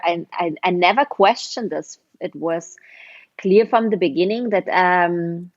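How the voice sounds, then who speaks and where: Slow, thoughtful forty-year-old woman. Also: lowish voice, no room sound, muffled recording. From Berlin, Germany. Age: 40s. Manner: thoughtful, with frequent hesitations. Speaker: guest, writer. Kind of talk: casual interview answers.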